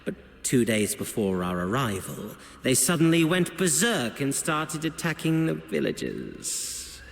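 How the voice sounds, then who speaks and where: Suave, theatrical officer with lively pitch. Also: male voice, vocal fry, a little hiss or hum. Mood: sly, deferential. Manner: flowery, insinuating, deliberate storytelling. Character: suave, theatrical male subordinate officer reporting to his chief. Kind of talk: video game voice acting. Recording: echoey hall reverb with music.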